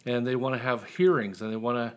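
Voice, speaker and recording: A man's voice: mid-range voice, quick pace. A man chatting casually as an author guest in an interview, telling his story in a wry, dry way.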